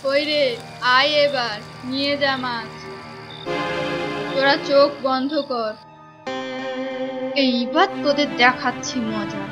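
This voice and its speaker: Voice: sits high, steady tone, slow, pauses often, music in the background. A performer voicing a feisty village housewife in a cartoon, scolding and theatrical. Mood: taunting. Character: feisty village housewife guarding her fish.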